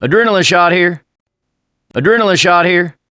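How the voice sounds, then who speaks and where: An actor playing a male survivor in a zombie video game, calling out supplies loudly and urgently. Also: sits high, moderate pace, no echo. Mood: alert, urgent.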